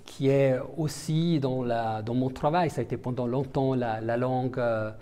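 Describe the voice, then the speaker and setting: Hesitant diplomat, mellow voice. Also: male voice, medium pitch, steady tone, normal speed, clean tone. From Italian-speaking Switzerland. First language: Italian. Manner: hesitant, many fillers and restarts. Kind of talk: interview answers.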